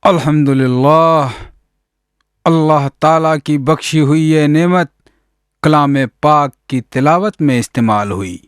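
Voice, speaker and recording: A man playing a pious, contented village cleric in a radio drama, devout, exclamatory and gently admonishing. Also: on the high side, smooth, dry recording, studio quality, lightly acted. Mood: reverent.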